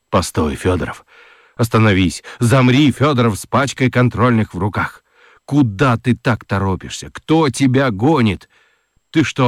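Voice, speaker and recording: A man giving an expressive, theatrical scripted narration of a children's story. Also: on the low side, dry recording, clean studio sound.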